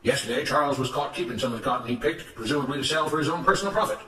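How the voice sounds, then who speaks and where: A man voicing a smooth-talking, self-righteous plantation overseer in a storybook, speaking in a declamatory, emphatic way. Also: mid-range voice, rapid-fire, bright, forceful tone, roomy sound, distant mic, a little hiss or hum, pitched above the performer's own voice, natural voice, low-quality recording. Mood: stern. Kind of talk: dramatised storybook narration.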